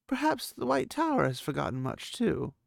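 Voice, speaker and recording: A man's voice: very high-pitched, huge pitch swings, normal speed, gravelly, dry recording, studio quality, pitched above the performer's own voice, full character voice. A man voicing a thoughtful young woman channeler with a musing, curious manner.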